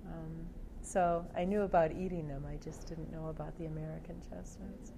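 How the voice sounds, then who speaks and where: An older woman with a soft voice, reflecting on family memories in an interview, speaking haltingly with many fillers and restarts. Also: deep voice, steady tone, rough recording. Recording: clear background noise.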